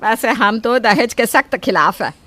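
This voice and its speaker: A woman acts out a greedy middle-aged mother-in-law in a dramatized story. Her voice is high and bright, swinging widely as she wheedles with false politeness and pushes her demands. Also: lively intonation, moderate pace, no room sound. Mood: falsely sweet. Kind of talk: acted Hindi audio story.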